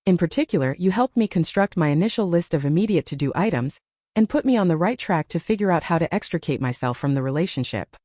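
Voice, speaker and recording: A woman's voice: lowish voice, expressive, fast, darkish tone, no echo, studio quality. A woman narrating a reddit story aloud, reading out a long numbered list and lifting her voice on each number.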